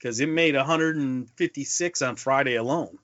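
A man's voice: medium pitch, moderate pace, a bit bright, dry recording. A man co-hosting a casual movie podcast, speaking emphatically and repeating himself to drive points home. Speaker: podcast co-host. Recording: dull lo-fi podcast audio.

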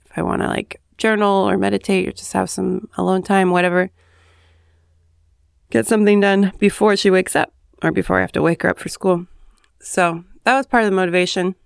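Brief: woman host, just up and hoarse, gravelly edge, smooth resonant tone, rambling chatty asides, dry close sound. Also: lowish voice, dry recording. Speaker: podcast host. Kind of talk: casual solo monologue. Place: home, early morning.

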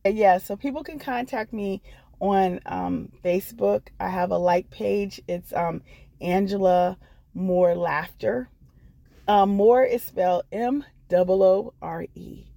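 American woman with creaky, storytelling voice. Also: fairly low pitch, dark tone, no room sound. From Washington D.C. area, United States. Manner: earnest, encouraging. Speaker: guest storyteller, comedian and actress. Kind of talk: unscripted personal storytelling.

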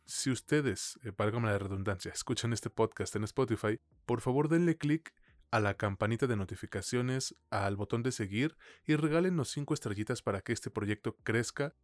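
A man's voice: mid-range voice, moderate pace, dry recording, clean studio sound. A Mexican man co-hosting a film podcast, chatting in an informal, slangy way. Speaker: podcast co-host. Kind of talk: casual film podcast chat.

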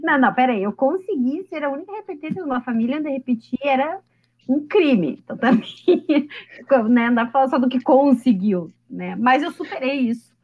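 A woman in her late thirties is chatting on a podcast, her voice sitting fairly high. She jokes at her own expense and laughs easily. The recording is dull and lo-fi. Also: lively intonation, brisk. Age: 30s. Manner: chatty, self-deprecating, laughs often. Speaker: podcast guest. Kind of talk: casual interview.

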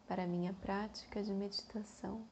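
A woman with a Brazilian Portuguese accent leads a guided meditation in a soothing manner, leaving long pauses between phrases. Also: medium pitch, fairly even intonation, a little mellow, a little hiss or hum.

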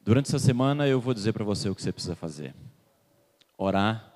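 A man's voice: lowish voice, lively intonation, quick pace, darkish tone. A man preaches to a congregation, asking them questions and waiting for their answers. His voice rings with a long reverb through the church hall. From Salvador, Bahia, Brazil. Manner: questions the congregation and waits for replies. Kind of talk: sermon.

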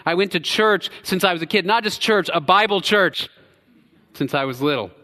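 Bright-voiced preacher in a reverberant church. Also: male voice, expressive, moderate pace. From United States. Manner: swings between loud emphatic stretches and quiet low drops. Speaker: pastor. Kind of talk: sermon. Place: church sanctuary. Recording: church hall with long reverb.

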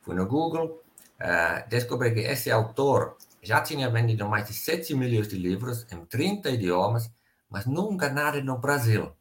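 A man's voice: mid-range voice, measured pace. A middle-aged man from Finland with a Finnish accent, speaking Portuguese as a second language and telling stories as an interview guest. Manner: relaxed storytelling, careful non-native phrasing. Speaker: guest, company sales director. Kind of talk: casual interview chat.

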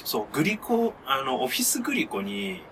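A man's voice: high voice, huge pitch swings, moderate pace, background noise. A man co-hosting a podcast in casual chat, tossing in playful quips and dry retorts. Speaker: podcast co-host. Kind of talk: casual chat among friends.

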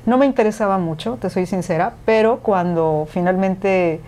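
A woman's voice: mid-range voice, moderate pace. A woman, a podcast host and former radio announcer, talking candidly as an interview guest. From Mexico. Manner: candid, animated, many fillers. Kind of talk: conversational interview.

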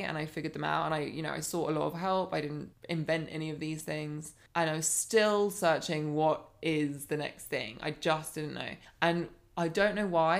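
Podcast guest, woman in her thirties, life coach: earnest and reflective, with a husky vocal fry in a low voice. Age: 30s. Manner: earnest, reflective, animated when building a point. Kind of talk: candid personal storytelling.

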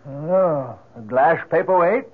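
A man's voice: on the high side, lively intonation, slow. A man acting in a radio drama as a terse, laconic small-town antique shopkeeper. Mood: laconic, guarded. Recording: tinny old radio broadcast.

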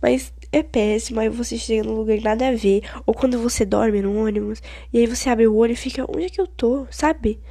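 A woman's voice: fairly high pitch, brisk, few pauses, mellow, husky, dry recording, clean studio sound. A young woman in her late teens with a Brazilian Portuguese accent, hosting a casual solo podcast while stuffed up with a cold, chatty and rambling with dramatic complaints. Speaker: podcast host.

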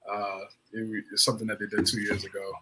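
A man co-hosting a casual sports podcast over a video call, excitable and prone to repeating himself. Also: on the low side, fairly quick, faint music behind, rough recording. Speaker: podcast co-host.

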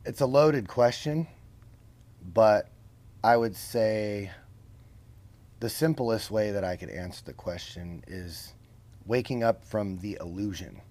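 A man's voice: lowish voice, slow, long pauses, a little mellow. Earnest man explaining, keeps asking "right?". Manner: earnest, explains with rhetorical questions and tag "right?". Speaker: podcast guest. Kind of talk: casual conversational interview.

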